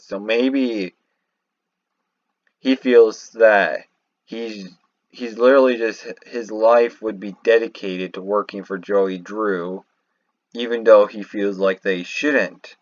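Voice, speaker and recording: A man giving casual, unscripted commentary as a video host, halting and rambling as he goes. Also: on the low side, no echo. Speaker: video host reacting to game lore. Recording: slightly muffled, dry home microphone.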